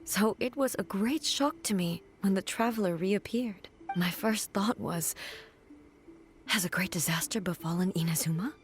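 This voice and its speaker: A woman playing a reserved, solemn immortal ruler in an anime game, speaking in a formal, measured, earnest way. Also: medium pitch, lightly acted. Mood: solemn, grateful. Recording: music behind the voice.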